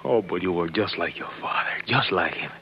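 An actor playing a loyal older sidekick, earnest and deferential, in 1950s radio adventure style. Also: male voice, sits low, expressive, a little fast. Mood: devoted, reassuring. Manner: deferential, earnest, speaking in simple broken English. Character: loyal older Mexican sidekick, once his master's companion. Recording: muffled, noisy old radio recording.

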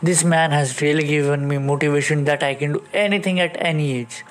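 A man's voice: a little high, steady tone, a little fast. A man reporting a sports news segment in a read-out bulletin style.

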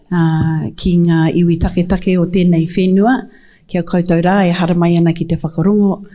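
A woman's voice: fairly low pitch, mellow. A woman with a New Zealand accent giving a live welcome and introductions as co-moderator. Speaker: co-moderator, film commission representative. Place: live festival talk, handheld microphone.

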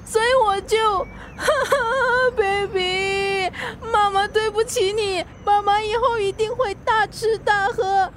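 A woman's voice: extremely high pitch, fairly even intonation, slow, few pauses, background noise. A woman acting in a radio drama as an emotional, pouty pregnant young wife. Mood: tearful, self-blaming. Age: young adult. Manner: tearful, pouting delivery.

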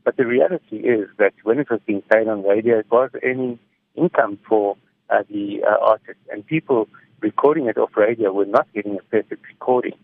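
A middle-aged man gives measured, explanatory answers in a reflective radio interview over the phone. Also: on the low side, vocal fry, dry recording. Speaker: interviewed music technology commentator. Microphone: phone call. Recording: tinny, narrow phone line.

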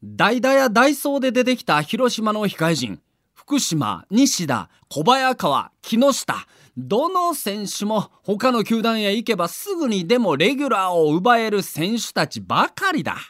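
A man's voice: high-pitched, very animated, unhurried, a bit bright, no echo, studio quality. A man narrating a sports documentary in a theatrical, emphatic storytelling manner.

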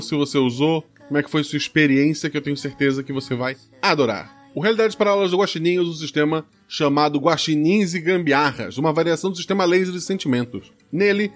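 A man from Brazil hosting a tabletop roleplaying podcast, reading an ad and explaining the game rules in a chatty, informal, persuasive way. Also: a little high. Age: adult, played RPGs since youth. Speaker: game master and podcast host. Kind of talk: podcast ad read and rules intro.

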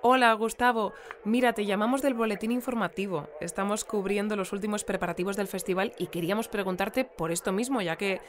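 A woman's voice: mid-range voice, fairly even intonation, a little fast, few pauses, a little hiss or hum, natural voice. A woman with a Spain accent playing a polite, chatty local news presenter in a radio drama, conducting live interviews. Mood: polite, inquisitive.

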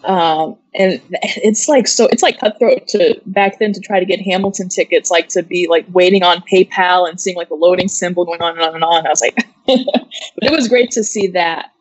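A woman's voice: on the low side, normal speed, no room sound, low-quality recording. An American woman co-hosting an entertainment show in casual, chatty talk sprinkled with "like". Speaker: co-host of an entertainment news show. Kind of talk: casual chat.

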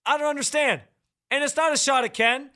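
A man hosting sports radio, his high, bright voice pushed hard and projected into a close studio mic. He talks with loud, fired-up opinions. The sound is full and forceful. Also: steady tone, fairly quick. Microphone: studio microphone, close.

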